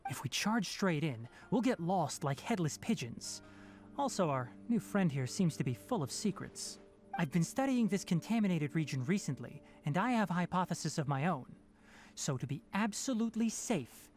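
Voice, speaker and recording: Lively-pitched young scholarly forest ranger. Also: male voice, soft background music. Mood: explaining, calm. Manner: measured, precise, articulate, matter-of-fact. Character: young fox-eared forest ranger, calm, pragmatic, scholarly mentor. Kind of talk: video game voice acting.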